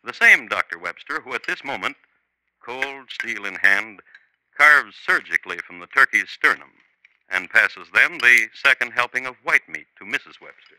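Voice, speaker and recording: An actor narrating a 1950s radio crime drama as a wry, urbane storyteller, dry and measured. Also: male voice, mid-range voice, animated, sharp tone, dry recording, rough recording. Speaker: host and narrator. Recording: old 1950s radio recording, music behind.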